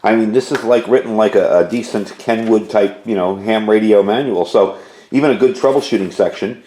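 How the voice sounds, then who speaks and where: A man's voice: fairly low pitch, brisk, dark tone, slight background noise. A man giving a casual, informal product review, explaining details as he goes, recorded indoors at home. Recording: roomy indoor echo.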